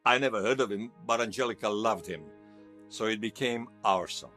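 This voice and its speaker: A man's voice: on the low side, moderate pace, bright, forceful tone, faint music behind. An actor playing a nostalgic, wryly amused man recalling his past conversationally in an interview-style audio drama. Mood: wistful, fond. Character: nostalgic man recalling lost love in a future world.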